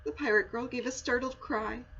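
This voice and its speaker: A woman reading a children's storybook aloud in an expressive, dramatic and deliberate way. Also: on the high side. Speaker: story reader, school read-aloud. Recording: dull lo-fi sound with faint noise.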